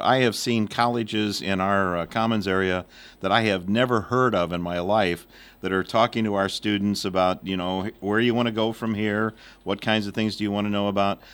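A man co-hosting a local radio show, asking friendly, measured questions. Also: fairly low pitch, steady tone, fairly bright tone. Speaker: radio co-host. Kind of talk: casual radio interview.

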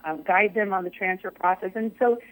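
Woman chancellor chatting on radio, upbeat, voice going hoarse, um uh everywhere. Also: mid-range voice, brisk, a bit bright, rough recording. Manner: upbeat, many fillers. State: losing her voice. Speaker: community college chancellor. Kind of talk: casual radio interview.

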